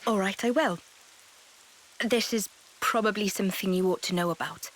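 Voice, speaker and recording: An actress voicing a wise, solemn woman leader in a video game cutscene, explaining things in a measured, grave way. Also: medium pitch, close to an everyday voice, low-quality recording. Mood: patient, serious.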